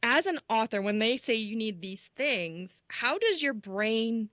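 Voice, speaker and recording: A woman co-hosting a podcast who is not an author, asking curious questions and reacting quickly. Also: a little high, animated, fairly bright tone, no room sound. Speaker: podcast co-host, non-author. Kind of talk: casual podcast chat.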